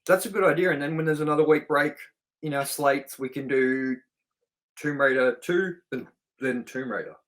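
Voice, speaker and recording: Man, slightly high pitch, movie show co-host, informal chatty delivery, unscripted, speaking to the audience. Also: normal speed, fairly bright tone. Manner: informal, chatty, addresses viewers directly. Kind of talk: casual unscripted chat and show sign-off.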